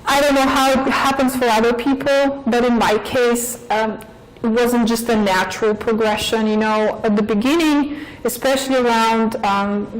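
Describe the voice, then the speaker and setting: A woman in her forties from Bulgaria with a Bulgarian accent, giving a thoughtful interview as a professor, with frequent pauses and fillers. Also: on the high side, low-quality recording. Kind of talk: interview answers.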